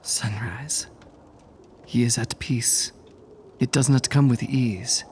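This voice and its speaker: A man playing a calm, consoling stallion in an audio drama, gentle, measured and solemn. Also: medium pitch, a little hiss or hum, natural voice.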